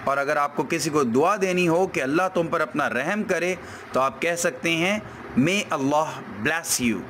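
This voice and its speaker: A man with a sore throat gives a language lesson, with a voice that sits a little high for a man. He speaks very slowly and deliberately, saying every example sentence twice. Faint traffic noise runs in the background. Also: fairly bright tone. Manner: slow, deliberate, repeats each example sentence. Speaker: English language teacher.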